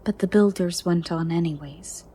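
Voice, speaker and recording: A woman playing a gentle, ancient female monster under the bed in a soft, breathy, partly whispered ASMR roleplay, formal and caring. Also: lowish voice. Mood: wistful storytelling. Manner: slow, formal, caring, slightly stern.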